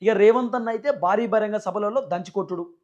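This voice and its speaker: A man's voice: high-pitched, fast talker, a little mellow, dull audio. A man with a Telangana Telugu accent giving emphatic, repetitive political news commentary as a channel host, often in rhetorical questions.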